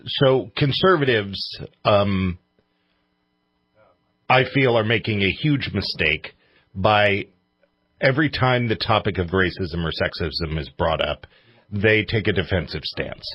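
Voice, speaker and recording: A man's voice: fairly low pitch, takes it slowly, pauses often, husky, no echo, dull audio. A man hosting a radio show in casual chat, with an informal, joking manner.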